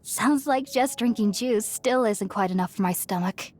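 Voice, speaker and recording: A woman playing a gentle, studious young female hermit in a video game, speaking politely and earnestly in measured phrases. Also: a little high, close to an everyday voice. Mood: reflective. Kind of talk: scripted video game voice acting. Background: music.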